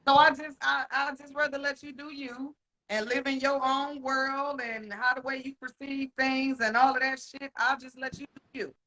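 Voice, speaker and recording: A man co-hosting a podcast in casual chat. He talks frankly and swears a lot, laughs often, and slows down to stress his points. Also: very high voice, little pitch movement, sharp tone, slight background noise, low-quality recording. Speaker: podcast co-host.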